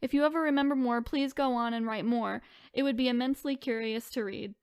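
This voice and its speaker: High, clear-voiced woman podcaster. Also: a little fast, darkish tone, no echo, clean studio sound. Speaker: podcast co-host. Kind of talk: reading online stories aloud and casual chat.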